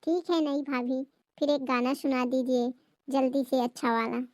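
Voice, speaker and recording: A woman's voice: sits high, steady tone, moderate pace, darkish tone, no room sound, close to an everyday voice. A woman playing a nosy, blunt young female relative, prying in a family comedy skit. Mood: insistent.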